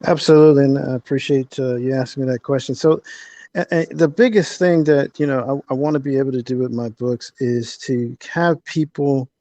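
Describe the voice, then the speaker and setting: A man with a mellow, slightly high voice talks as a podcast guest, explaining his work as an author. He builds points conversationally, repeating words along the way. The recording is dry and a little dull. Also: fairly even intonation, a little fast, no echo. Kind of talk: conversational interview answers.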